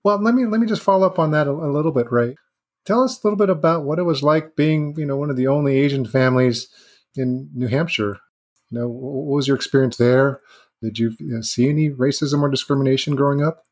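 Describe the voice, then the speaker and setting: A man's voice: mid-range voice, quick pace. A man hosting an interview podcast, speaking in loose, rambling sentences. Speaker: podcast host. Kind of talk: conversational interview.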